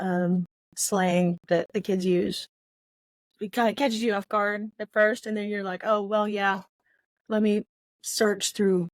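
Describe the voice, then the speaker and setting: Woman podcast co-host, forties, casual book talk, halting and reflective delivery, close dry recording. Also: medium pitch, dry recording.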